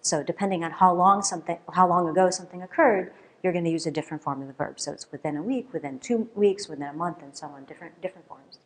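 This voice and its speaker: A middle-aged woman, a native Russian speaker, giving a conference keynote at a lectern in a lecture hall, lively and often checking in with "right?". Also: lowish voice, fast. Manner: lively, questioning, with frequent "right?" and fillers. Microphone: lectern microphone.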